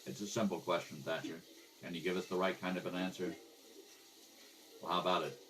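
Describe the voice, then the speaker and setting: Man playing a low, clipped police detective. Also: flat delivery, fairly quick, fairly bright tone, a little hiss or hum. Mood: terse, probing. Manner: clipped, matter-of-fact. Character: terse, businesslike male police detective narrating his case. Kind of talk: 1950s radio crime drama narration. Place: at a kitchen table. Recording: slightly distant home microphone.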